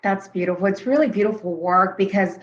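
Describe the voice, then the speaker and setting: A woman's voice: medium pitch, a little fast. A woman hosting an interview, asking earnest questions and praising her guests effusively. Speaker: host. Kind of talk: interview conversation. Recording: dull lo-fi sound.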